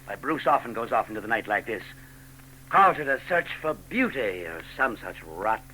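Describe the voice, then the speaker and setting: Blustery older uncle, sharp forceful tone, swooping delivery, dismissive, hissy forties radio. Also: male voice, lowish voice, sing-song, moderate pace. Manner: pompous, dismissive. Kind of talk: 1940s radio drama acting. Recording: noisy, humming 1940s radio recording.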